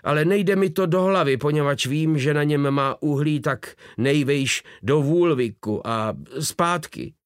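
Guy doing a chatty boatwoman, high-pitched, slangy, fussy, dry close mic. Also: few pauses, smooth, no room sound, close to an everyday voice. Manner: colloquial common Czech, fussy and talkative. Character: brisk middle-aged woman boat keeper, chatty. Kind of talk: audiobook character voice.

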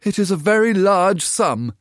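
A weary, worried mother who scolds her daughter, her voice raised high and slow, as the storyteller puts her on. The sound is close and dry, with wide swings in pitch. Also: animated, no echo, natural voice. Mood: insistent. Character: tired, worried poor mother. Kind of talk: storybook reading.

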